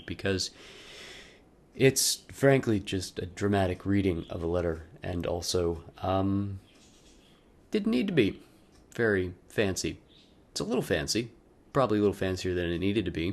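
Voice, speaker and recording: A man with a somewhat low voice talks as a video creator would in a personal piece. His delivery is wry and self-deprecating, and he breaks off into joking asides. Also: expressive, normal speed. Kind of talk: casual vlog intro, then loose dramatic reading of a personal letter.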